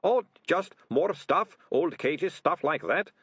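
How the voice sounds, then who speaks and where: Whining, panicky rat-catcher. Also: male voice, expressive, slow pace, slightly rough, dry recording, heavily acted. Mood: nervous, evasive. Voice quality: high-pitched moaning voice. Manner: stammering, colloquial, self-justifying.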